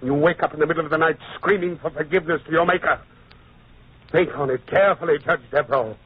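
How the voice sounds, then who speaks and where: Righteous indignant judge in a radio play, bit high and husky, tinny old sound. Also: male voice, fast talker. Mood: grave, scornful. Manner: earnest, oratorical. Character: indignant, principled French judge turned defence barrister. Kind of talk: old-time radio drama acting.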